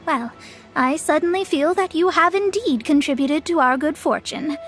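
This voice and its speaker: A woman's voice: sits high, normal speed, a bit bright, soft background music. A woman voicing a proud, formal young female astrologer who acts as a generous master, in a video game. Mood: haughty. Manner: haughty, formal and self-important.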